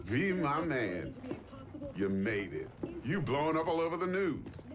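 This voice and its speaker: An actor playing a menacing crime fixer in a game trailer, with a swaggering, slangy manner. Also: male voice, on the high side, big pitch swings, a little slow. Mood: falsely friendly. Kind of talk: cinematic game trailer acting.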